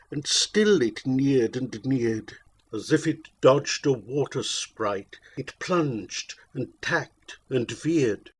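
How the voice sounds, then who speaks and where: A man's voice: medium pitch, breathy edge. A man reciting narrative poetry in a theatrical, solemn way, drawing out his phrases. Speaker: poetry reader.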